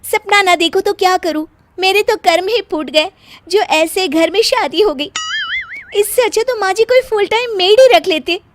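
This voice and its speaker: A woman's voice: very high-pitched, moderate pace, faint music behind, pitched above the performer's own voice. A woman voicing a discontented, greedy young daughter-in-law in a cartoon, with a dramatic manner. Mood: sarcastic, aggrieved.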